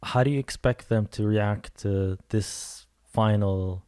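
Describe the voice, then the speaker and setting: A man's voice: on the low side, slow pace, darkish tone, clear, resonant tone, no room sound, clean studio sound. A man hosting a podcast, asking probing follow-up questions in casual chat. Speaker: podcast host.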